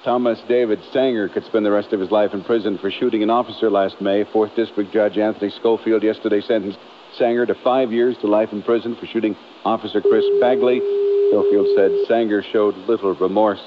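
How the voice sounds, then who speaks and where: A man's voice: fairly low pitch, fairly even intonation, a little fast. Muffled radio newsman with an American accent. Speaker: morning radio news anchor. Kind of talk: news reading. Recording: muffled radio aircheck with noise.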